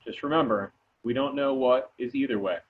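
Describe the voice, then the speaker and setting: A man's voice: mid-range voice, moderate pace, breathy edge, narrow, tinny audio, natural voice. A man playing a practical teacher leading a treasure hunt, reading a script over thin audio. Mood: matter-of-fact. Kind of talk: amateur table read of a movie script.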